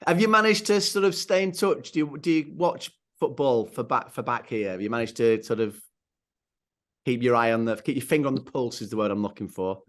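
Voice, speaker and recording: A man's voice: mid-range voice, a little fast. A man hosting a football club show, presenting and interviewing a guest in an upbeat, jokey way.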